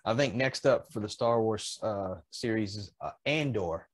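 A man in his fifties chatting casually as a podcast co-host, often pausing mid-thought. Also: lowish voice, no echo. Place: indoors in a home collectibles room.

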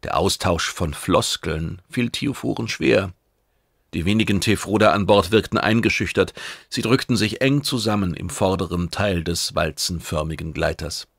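A man's voice: deep voice, normal speed, vocal fry, dry recording, clean studio sound. A man reading scripted narration as the narrator of a science fiction audiobook.

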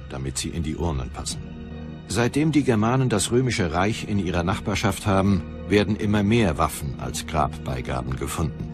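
A man narrating a scripted documentary in a measured, factual manner. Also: deep voice.

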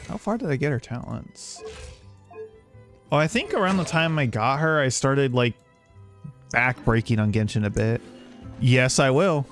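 A man's voice: mid-range voice, animated, a little slow, long pauses, soft background music. A man chatting casually to viewers as a game streamer, rambling along and swearing now and then. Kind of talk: casual livestream chat to viewers.

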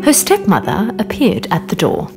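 A woman's voice: mid-range voice, gravelly. A woman narrating a children's fairy tale in a scripted, storybook reading. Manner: slow, very expressive reading. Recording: narration over background music.

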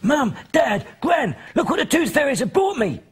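A man voicing a wide-eyed, excitable little boy in a children's story, full of breathless wonder. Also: very high-pitched, normal speed, voice pushed up, exaggerated voice. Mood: excited wonder.

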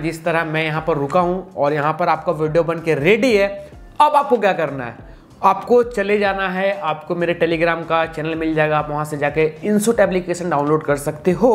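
A man, giving a chatty, informal step-by-step tutorial with English tech words mixed in. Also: high voice. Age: 20s. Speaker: video tutorial host. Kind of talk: casual step-by-step tutorial to camera. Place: small indoor room with computer setup. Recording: clearly noisy room recording.

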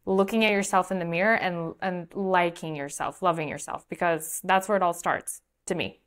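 A woman's voice: fairly low pitch, steady tone, moderate pace. A young woman from Moldova, a podcast host whose first language is Russian, chatting casually with lots of likes and you-knows. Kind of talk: casual interview chat. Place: bright room with large window.

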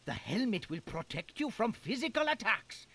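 A man's voice: high-pitched, very animated, normal speed, vocal fry, fairly bright tone, slight background noise, heavily acted. An actor playing a pompous old male gnome commander in a game, blustery and theatrical.

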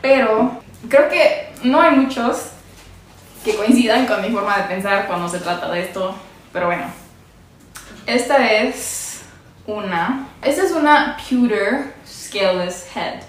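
Young Mexican woman, unhurried, giggly reptile vlogger; very echoey bare room, steady ambience behind. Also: medium pitch, slight background noise. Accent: Mexican Spanish. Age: young adult. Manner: chatty, giggly, coos at her animals. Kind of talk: casual unboxing vlog. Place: small bare indoor room.

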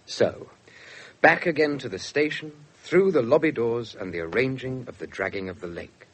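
An actor with an English accent playing an earnest, slightly awkward young police detective in a radio drama. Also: male voice, medium pitch, moderate pace, husky, natural voice. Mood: earnest narrating. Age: young adult. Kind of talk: 1970s British radio drama acting and narration.

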